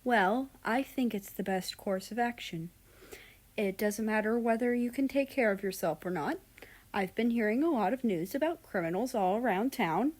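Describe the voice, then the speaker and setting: A woman's voice: fairly high pitch, normal speed, darkish tone, lightly acted. A woman voicing a stern older king and father from a story, speaking in a formal, authoritative manner. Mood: stern, instructing.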